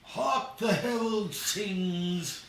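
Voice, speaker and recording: A man voicing an indignant old man proclaiming loudly to villagers in a story, drawing out his words. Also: sits high, pitched above the performer's own voice, heavily acted. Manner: loud, drawn-out, declamatory. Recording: echoey room, distant from mic.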